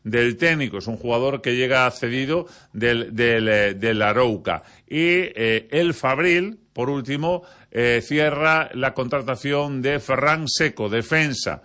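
A male sports presenter with a Spanish accent from Spain delivers a news bulletin. His voice is sharp and bright at an average pitch. He often hesitates and gropes his way through the items. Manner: halting delivery with frequent hesitations.

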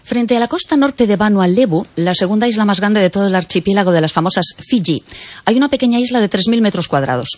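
A woman hosting a radio interview in a studio, chatty and lively. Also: medium pitch, fast talker, dry recording. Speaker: radio host. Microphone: studio microphone.

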